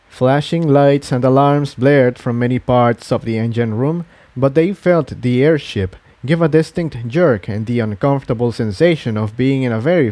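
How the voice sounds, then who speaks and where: A man's voice: medium pitch, warm tone, no room sound. A man reading scripted fiction aloud as the narrator of a fantasy adventure.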